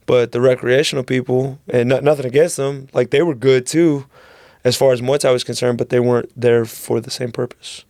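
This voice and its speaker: A man's voice: medium pitch, fairly even intonation, a little fast, darkish tone, no room sound, clean studio sound. A man from San Antonio, a coach rambling casually on a podcast, full of slang and "bro". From San Antonio, Texas. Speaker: guest striking coach. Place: podcast studio.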